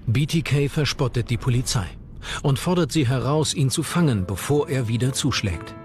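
A man's voice: mid-range voice, animated, moderate pace. A man reading scripted true crime narration as a documentary narrator. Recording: music under the voice. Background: background music.

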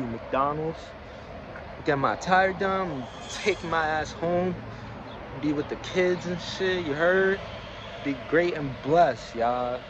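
Young man, slow delivery, casual vlog talk, street slang, swearing, noisy phone recording. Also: on the high side, highs cut off. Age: young adult. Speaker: vlogger. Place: outdoors at a gas station, later inside a car. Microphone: phone at arm's length. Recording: loud outdoor and car noise.

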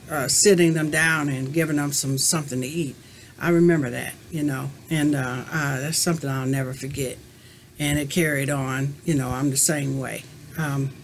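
A woman's voice: deep voice, slight background noise. A woman in her fifties answering tag questions in a casual, rambling, reflective way, talking to a webcam in a small room at home. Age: 50s. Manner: rambling and reflective, many "you know" fillers. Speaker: crochet vlogger answering a tag. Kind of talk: casual chat to camera. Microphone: webcam at desk distance.

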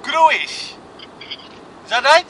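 A man's voice: very high voice, very animated, very slow, bright, forceful tone, slight background noise, heavily acted. A man putting on a dim, confused caller for a prank phone call, rambling and feigning misunderstanding. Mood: bumbling. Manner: bumbling, absurd, feigned confusion. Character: dim foreign-sounding male caller Ravosh.

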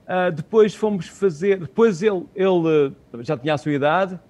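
Man, middle-aged, European Portuguese accent, slightly high voice, halting delivery, repeats phrases, interview guest on a video call. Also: a little mellow. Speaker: interview guest, community radio co-founder. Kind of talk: conversational interview answers. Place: small room at home, on a video call. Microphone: earphone headset on video call.

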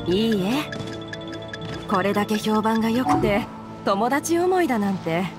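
A woman's voice: mid-range voice, lively intonation, unhurried, natural voice. A woman playing a courteous, obliging female antique merchant in a video game, speaking politely and reassuringly. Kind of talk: Japanese video game voice acting. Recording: game music behind the voice.

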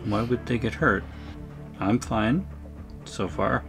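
A man's voice: medium pitch, takes it slowly, gravelly, over music. A man playing an exasperated, shrunken captive in an audio roleplay, firmly and incredulously reasoning with his captor. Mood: firm, coaxing. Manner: firm, incredulous, negotiating.

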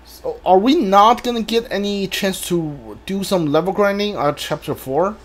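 Higher-pitched, lively man commentating a game. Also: normal speed. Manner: muttering to himself, talks back to the game. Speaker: video game streamer. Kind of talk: casual playthrough commentary.

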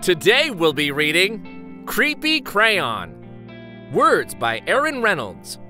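A man reading a children's story aloud dramatically, with theatrical, suspenseful pauses. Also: high voice, huge pitch swings, bright, forceful tone, soft background music.

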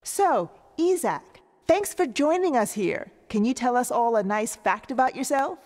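A woman's voice: on the high side, animated, unhurried, darkish tone. A woman playing a cheerful cartoon co-host of a video game quiz show, with a presenterly announcing manner. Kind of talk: scripted game show hosting. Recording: reverberant studio with crowd noise.

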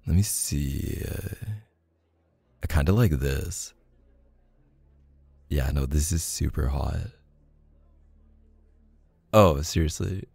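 Very deep, gravelly, slow-talking boyfriend. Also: male voice, darkish tone, dry recording. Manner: hesitant, chuckling, affectionate. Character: playful, bashful, affectionate boyfriend. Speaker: ASMR boyfriend roleplay performer. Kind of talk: intimate casual one-sided roleplay acting.

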